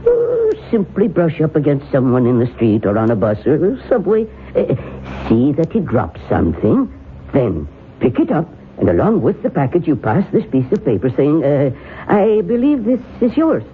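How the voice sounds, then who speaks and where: An actor playing a calm male professor who knows about curses, speaking in a measured, dryly amused way in a 1970s radio drama. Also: a little high. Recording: tinny old radio broadcast with faint hiss.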